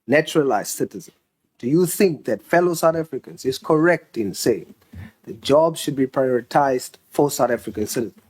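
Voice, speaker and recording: A man's voice: medium pitch, expressive, moderate pace, a little mellow, breathy edge. A man grills officials at a committee hearing. He presses his questions and repeats them insistently. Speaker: member of parliament questioning officials.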